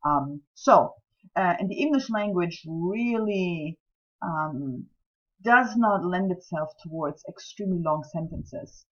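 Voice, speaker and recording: A woman's voice: on the low side, lively intonation, takes it slowly, fairly bright tone, dull audio. A woman whose first language is German, teaching scientific writing in a chatty recorded lecture full of ums and self-deprecating asides. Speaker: writing instructor.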